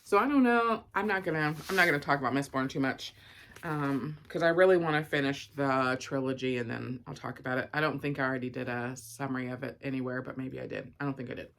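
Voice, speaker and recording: A woman's voice: rumbling low, quick pace, vocal fry, a bit bright, slight background noise. A woman giving an informal, rambling book review and unboxing, thinking aloud with frequent asides.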